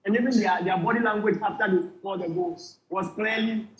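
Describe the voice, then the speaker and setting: A man's voice: high voice, steady tone, brisk, echoey room, low-quality recording. A man giving fluent, assured football analysis as a remote pundit, repeating words at times.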